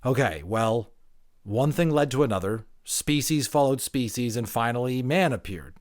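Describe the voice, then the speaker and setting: A man acts out an educated male student in an audiobook novel, unsure and a little defensive. He gropes for words and thinks aloud, his pitch rising and falling in a lively, halting way. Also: close to an everyday voice. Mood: uncertain, groping. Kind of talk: dramatic audiobook character acting.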